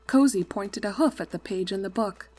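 A woman narrates a scripted story in a medium-pitched voice. She reads as a storybook narrator does, with soft music behind her. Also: moderate pace.

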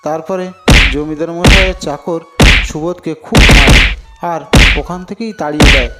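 A man's voice: on the high side, moderate pace, loud music behind the voice. A man narrating a scripted cartoon story as a storyteller.

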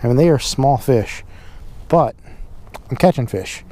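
A man's voice: mid-range voice, expressive, a little slow, dark tone. A man, chatting to a chest-mounted camera while fishing on a windy, cold pond shore. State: cold, runny nose. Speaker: fishing vlogger. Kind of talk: casual outdoor narration. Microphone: action camera on chest harness.

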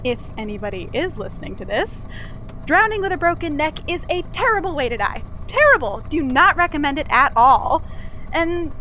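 A chatty young-sounding archive assistant guy played by a woman records a confession for an audio drama. The voice is high and rambles hesitantly and conversationally, with clear noise behind it. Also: pushed and bright, poor audio.

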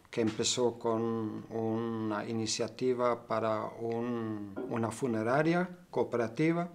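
An older man talks very slowly, stopping often to search for words and restarting phrases. He is from Germany and answers interview questions while seated in a bright room by tall windows. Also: mid-range voice. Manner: slow, halting, many fillers and restarts. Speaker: cooperative member.

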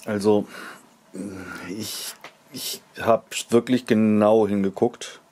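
A man's voice: fairly low pitch, level tone, slow pace, warm tone. A man in his fifties giving casual unboxing commentary as a product reviewer, chatting and thinking aloud as he inspects things at a table in a small wood-panelled room.

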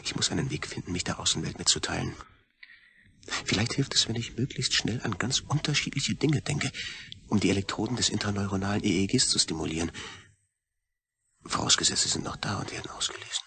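An actor playing an anguished astronaut reduced to a brain, brooding in an intense, gravelly, often near-whispered radio drama monologue. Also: male voice, low voice, animated, a little fast, sharp tone, a little hiss or hum, heavily acted. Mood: determined. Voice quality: husky, gravelly.

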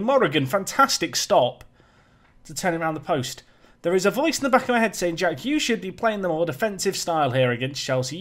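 A man talking casually over a football management game, joking and self-deprecating as he goes. His voice sits a touch high for a man and sounds slightly raspy and worn today. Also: a bit bright. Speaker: gaming YouTuber.

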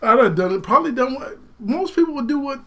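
A man's voice: very high voice, expressive, fast, sharp tone. A young man chatting casually in a reaction video. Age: young adult. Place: small bedroom, indoors.

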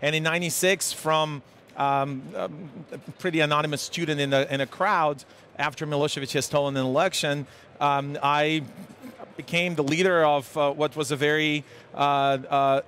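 A man in his forties from Serbia with a Serbian accent, speaking haltingly with many fillers as a guest in a live conference interview. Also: fairly high pitch, fairly even intonation, fairly bright tone, slight background noise. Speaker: tech executive guest. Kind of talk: live trade-show interview. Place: busy conference hall. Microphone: headset mic. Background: crowd chatter.

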